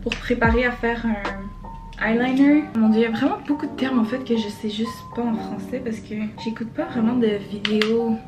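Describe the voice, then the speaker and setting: Young woman, Québécois accent, bit high voice, chatty with lots of hesitations, music playing behind. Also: fairly even intonation, moderate pace. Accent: Québécois French. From Quebec, Canada. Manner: chatty, frequent hesitations, mixes in English words. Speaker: beauty vlogger. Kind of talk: casual makeup tutorial chat. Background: background music.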